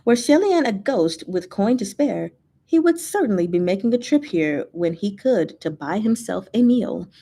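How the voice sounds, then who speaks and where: A woman reading fiction aloud as narrator, in an expressive storytelling style. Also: mid-range voice, moderate pace. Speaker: fanfiction reader, channel host.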